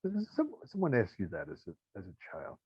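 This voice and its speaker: A man hosting an interview, asking questions in a measured, reflective way. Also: fairly low pitch, wildly swooping pitch, slightly rough, dry recording, muffled recording. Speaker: podcast host.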